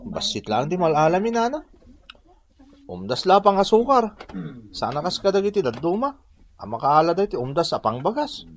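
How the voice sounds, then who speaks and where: A man's voice: on the high side, very animated, moderate pace, pauses often, a little hiss or hum. An actor playing a hard-up, plain-spoken village working man in an Ilocano radio drama, exclamatory and colloquial. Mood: pleading.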